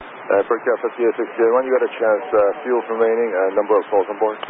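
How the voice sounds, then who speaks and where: A man's voice: on the low side, flat delivery, brisk. A man working as an air traffic controller, issuing vectors and questions over aviation radio. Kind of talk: live radio vectoring instructions. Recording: narrow-band air traffic radio, faint noise.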